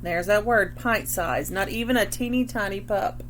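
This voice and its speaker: A woman teacher reading a story aloud to children, deliberately and instructively, stopping to explain key words. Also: medium pitch, fairly bright tone, slight background noise.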